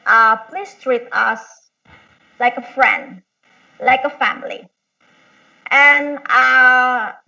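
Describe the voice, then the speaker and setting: A woman with an Indonesian accent giving informal explanatory answers as a guest professor in a webinar, in halting English with short, animated phrases. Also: on the high side. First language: Indonesian or Javanese. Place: online video call. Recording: dull, distant webinar microphone.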